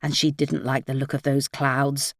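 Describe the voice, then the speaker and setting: A woman's voice: extremely low pitch, level tone, moderate pace, no room sound, clean studio sound. A woman reading a crime novel aloud as scripted audiobook narration.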